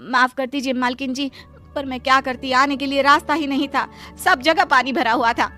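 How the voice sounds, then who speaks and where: Woman as poor housemaid, fretful, pleading, high-pitched, cartoon story. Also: steady tone, moderate pace, sharp tone, faint music behind. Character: poor young housemaid wife, anxious, dutiful. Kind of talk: Hindi cartoon voice acting.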